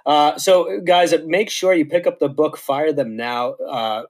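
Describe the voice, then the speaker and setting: A man's voice: on the high side, steady tone, measured pace, darkish tone. A man with a New York accent hosting a podcast, friendly and upbeat, speaking straight to his listeners. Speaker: podcast host. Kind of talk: casual podcast interview.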